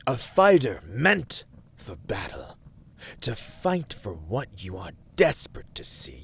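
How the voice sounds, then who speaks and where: A proud, menacing demonic warlord in an ASMR villain roleplay. His low voice is slow and deliberate, grandly commanding, and often sinks toward a breathy whisper. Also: full character voice.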